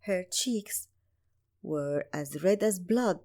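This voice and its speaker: A woman's voice: on the low side, animated, drawn-out words, a little mellow. A woman narrating a scripted bedtime story for children as a storyteller. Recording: music playing behind. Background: music.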